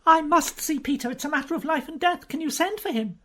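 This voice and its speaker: A woman voicing a distraught young noblewoman with a breathless, pleading, high voice. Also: brisk, a bit bright. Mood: frantic.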